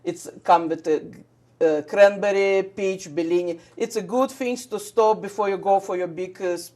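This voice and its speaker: A woman from Russia with a Russian accent, a shop owner chatting eagerly about her products in halting English with many fillers. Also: medium pitch, darkish tone. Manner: enthusiastic, halting non-native English with fillers. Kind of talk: casual chat.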